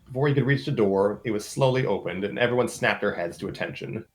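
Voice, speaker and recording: A man's voice: on the low side, fast talker. A man narrating a story in a dramatic read-aloud, as one performer in an informal group reading. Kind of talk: dramatic reading of fan fiction with slips and asides.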